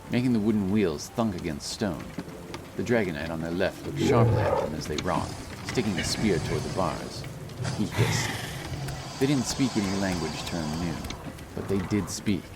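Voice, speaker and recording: A man narrating a fantasy audiobook in a measured, solemn storytelling manner. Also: sits low, lively intonation, very noisy. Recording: loud music behind the voice. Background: loud music.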